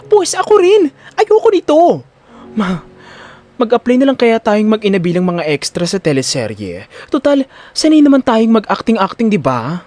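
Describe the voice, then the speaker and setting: A man's voice: sits high, wildly swooping pitch, normal speed, darkish tone, faint music behind, voice pushed up. A man voicing a flamboyant, dramatic grown son in a comic audio drama, campy, whiny and full of complaint. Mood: sassy complaining.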